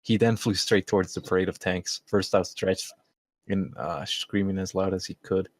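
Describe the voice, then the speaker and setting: A man's voice: low voice, fairly even intonation, normal speed, no room sound. A man reading a story aloud informally, amused and stumbling over some words. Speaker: amateur reader. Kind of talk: casual read-aloud of a story.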